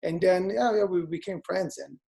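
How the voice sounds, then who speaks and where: Swedish man, thirties, gravelly voice, relaxed interview chat, loose with fillers. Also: high-pitched, moderate pace. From Sweden. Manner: relaxed, many fillers and restarts. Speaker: guest DJ and producer.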